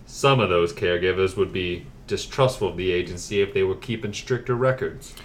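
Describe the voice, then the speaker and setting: A man playing a solemn expert in a forced low voice for a scripted comedy explainer. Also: brisk, close to an everyday voice.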